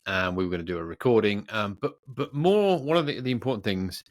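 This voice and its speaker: A man co-hosting a podcast, chatting casually in a jokey, teasing, animated way. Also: lowish voice, a little fast, dry recording, clean studio sound. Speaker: podcast co-host. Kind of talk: casual banter between co-hosts.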